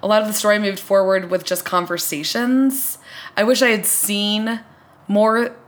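A woman's voice: medium pitch, fairly even intonation, moderate pace. A woman hosting a casual chat, talking informally and explaining things as she goes. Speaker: podcast host.

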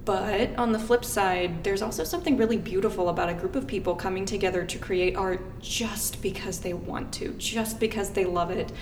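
Woman chatting in an echoey room, off-mic. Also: mid-range voice. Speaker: podcast guest host. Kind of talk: casual discussion. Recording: echoey room, distant mic, faint noise.

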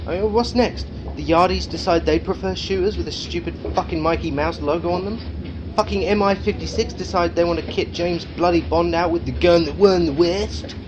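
An actor playing a crude, megalomaniac British arms company boss in a satirical audio drama, domineering, sarcastic and sweary. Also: male voice, high voice, brisk, noisy background, natural voice. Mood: furious.

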